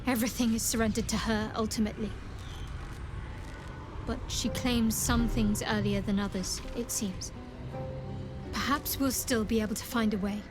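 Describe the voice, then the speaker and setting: An actress playing a devout young female warrior of darkness in a video game, earnest, deliberate and intense. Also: on the high side, fairly even intonation, over music, close to an everyday voice. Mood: torn, regretful. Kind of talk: dramatic video game acting.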